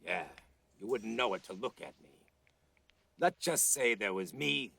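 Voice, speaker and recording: Gravelly, wisecracking puppet demon hunter. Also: male voice, fairly low pitch, expressive, unhurried, sharp tone, heavily acted. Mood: matter-of-fact. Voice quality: gravelly, husky. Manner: tough, sardonic, clipped. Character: wisecracking, streetwise male ventriloquist dummy, cursed demon hunter. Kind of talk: TV drama dialogue.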